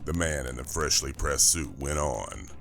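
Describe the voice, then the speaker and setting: A man narrating a science fiction thriller audiobook from a script, in a measured, dramatic way. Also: extremely low pitch, expressive, creaky, fairly bright tone, faint music behind.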